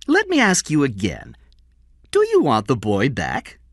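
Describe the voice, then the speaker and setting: A man voicing a small, sly con man in an audiobook story, oily and self-righteous, with drawn-out, insinuating politeness. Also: medium pitch, wildly swooping pitch, dry recording, studio quality, pitched above the performer's own voice, heavily acted. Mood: sly, taunting.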